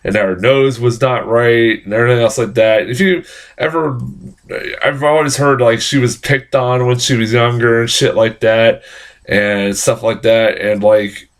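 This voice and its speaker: A young man with a hoarse, nasal voice from a cold, casually rambling through a film review with lots of fillers and swearing. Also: mid-range voice, steady tone, unhurried. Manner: rambling, frequent fillers and profanity.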